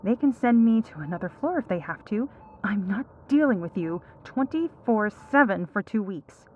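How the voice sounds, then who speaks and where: A woman's voice: mid-range voice, animated, normal speed, phone-quality sound, slight background noise, close to an everyday voice. A woman playing an exasperated superhero laid up in hospital in an audio roleplay, speaking with dry sarcasm. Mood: angry, sarcastic. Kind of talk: ASMR roleplay audio drama.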